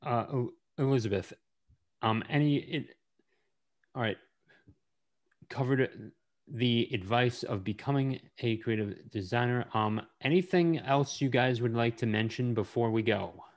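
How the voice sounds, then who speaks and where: A man hosting a podcast interview in a casual, halting way, often repeating and stumbling over his words. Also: medium pitch. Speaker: podcast host.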